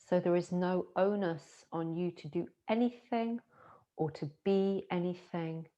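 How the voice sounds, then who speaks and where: A woman with a Scottish accent, a yoga teacher softly and slowly guiding a yoga nidra relaxation with long pauses. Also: on the low side, highs cut off. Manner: soft, slow and soothing, with long pauses. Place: her home in the city. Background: singing bowls and chimes.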